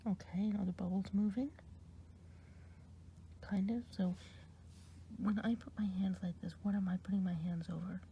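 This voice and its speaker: A woman's voice: mid-range voice, fairly even intonation, normal speed, pauses often, dull audio, slight background noise, natural voice. A woman whispering as a kindly witch healer. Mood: soft whispering. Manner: soft whisper, patient questioning. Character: kindly woman witch healing a hexed patient. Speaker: ASMR performer. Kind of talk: ASMR roleplay.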